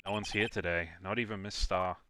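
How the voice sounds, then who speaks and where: A man's voice: deep voice, fairly even intonation, fairly quick, noisy background, natural voice. A man playing an earnest, dogged defense lawyer with wry asides in a live game dub. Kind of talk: live fan dub of a visual novel game.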